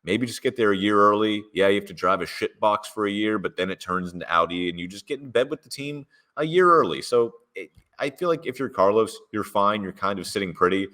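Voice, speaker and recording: A man's voice: on the low side, fairly quick, bright, forceful tone. A man co-hosting a casual podcast, playful and fond of silly bits. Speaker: podcast co-host. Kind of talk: casual chat.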